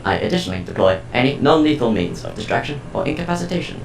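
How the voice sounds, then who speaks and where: A man's voice: sits low, sing-song, quick pace, gravelly, roomy sound, faint music behind, full character voice, rough recording. A man playing a cold, formal household artificial intelligence in an audio drama, speaking in a stilted, precise, emotionless way. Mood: flat, formal.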